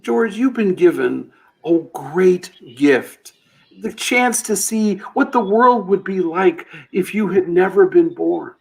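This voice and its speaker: A gentle guardian angel acted by a man explains patiently and earnestly in an old radio drama. The voice sits high, with a gravelly creak. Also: animated, takes it slowly, full character voice, rough recording. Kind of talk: old-time radio play acting.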